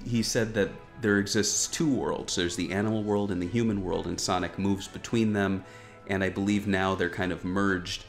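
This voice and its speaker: A man hosting a gaming news show, giving casual, wryly joking commentary on a video game trailer. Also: deep voice. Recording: music behind the voice. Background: background music.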